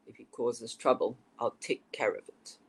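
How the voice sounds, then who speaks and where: A woman's voice: extremely low pitch, steady tone, normal speed, breathy edge. A woman voicing a fearless, self-sufficient young girl hunter in a fairy-tale poem, bold and reassuring. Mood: confident reassurance. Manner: bold, reassuring, plain-spoken.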